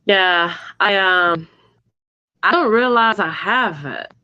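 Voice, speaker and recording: A woman's voice: mid-range voice, expressive, takes it slowly, sharp tone, clean tone, muffled recording. A woman with a Southern US drawl, chatting casually and laid-back on a live stream. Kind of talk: casual chat.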